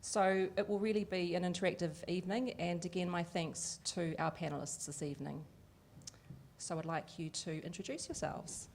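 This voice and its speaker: A woman voicing a Deaf host live at an evening event, lively and chatty with frequent fillers. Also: lowish voice, moderate pace, vocal fry, a little hiss or hum. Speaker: sign language interpreter voicing the Deaf host. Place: event venue with an audience.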